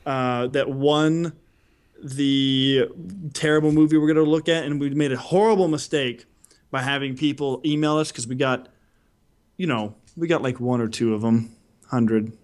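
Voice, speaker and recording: A man's voice: mid-range voice, moderate pace. A man co-hosting a podcast in casual, jokey chat, with a goofy, exaggerating way of talking. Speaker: podcast co-host.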